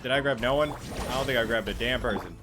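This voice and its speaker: A man's voice: medium pitch, fast talker. A man giving casual, offhand commentary on a video game he is playing, with wry asides. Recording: game music behind the voice.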